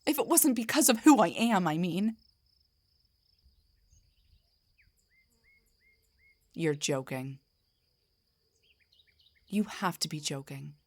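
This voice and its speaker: A woman voicing an indignant, defensive half-drow bard in a scripted fantasy roleplay audio, with a breathy, theatrical delivery. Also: on the low side, very animated, unhurried, a little mellow. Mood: incredulous, exasperated. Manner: theatrical, sarcastic, emphatic, stammers when rattled. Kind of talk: scripted roleplay monologue, one side of a conversation.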